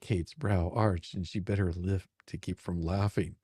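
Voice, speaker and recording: A man narrating a steamy romance in drawn-out, amused phrases on a close microphone. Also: low-pitched, fairly even intonation, mellow, husky, no room sound. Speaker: narrator and reader. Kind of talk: dramatic reading of a steamy romance.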